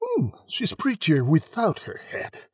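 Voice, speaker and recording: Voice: medium pitch, huge pitch swings, takes it slowly, darkish tone, husky, dry recording, studio quality, pitched above the performer's own voice, heavily acted. A narrator voicing a haughty, bloodthirsty young male prince in a declamatory, imperious storybook manner. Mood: smug.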